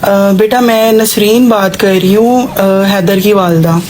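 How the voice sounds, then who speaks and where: A woman's voice: medium pitch, slow pace, a little mellow, slight background noise, close to an everyday voice. A woman playing a persuasive, well-off mother seeking a bride for her son on a prank call, mixing in English words. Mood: polite, coaxing. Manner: auntie-like, persuasive, addresses listener as child, English words mixed in. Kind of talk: acted prank phone call.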